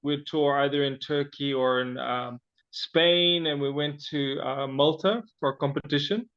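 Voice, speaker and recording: Halting man telling his story. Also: fairly high pitch, smooth, highs cut off. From Zimbabwe. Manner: halting, frequent fillers and restarts. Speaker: interview guest. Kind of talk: personal story in interview.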